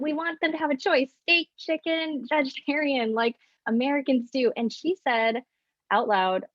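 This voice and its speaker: A woman's voice: on the high side, dry recording, muffled recording. A woman panelist speaking in an earnest, casual storytelling way with frequent fillers, over a video call.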